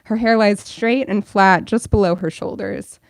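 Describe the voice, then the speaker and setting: A woman's voice: medium pitch, fairly even intonation, measured pace, creaky, no room sound. A young woman, a bookstore events director, welcoming an audience and then reading her own fiction aloud at a store event.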